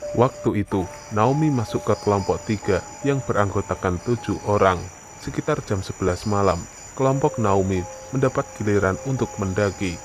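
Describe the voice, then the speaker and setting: A man's voice: fairly low pitch, moderate pace, noisy background. A man reading a scripted horror story as narrator.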